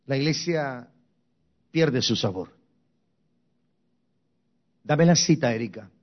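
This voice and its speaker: A man's voice: mid-range voice, expressive, takes it slowly, muffled recording. A man from Guatemala preaching a sermon as pastor, with emphatic, rising and falling intensity. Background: soft music.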